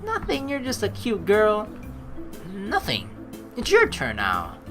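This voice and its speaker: A woman's voice: medium pitch, huge pitch swings, slow pace. A woman hosting a casual let's play, playfully reading game narration and rambling through her own commentary. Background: game background music.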